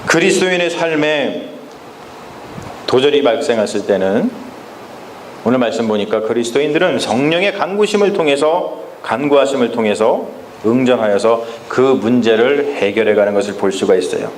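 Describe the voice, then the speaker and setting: A male pastor preaching a Sunday sermon in a church, speaking emphatically and often turning to address the congregation directly, slowing down to stress key phrases. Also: medium pitch, expressive, slight background noise. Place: church sanctuary. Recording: echoey church with faint ambience.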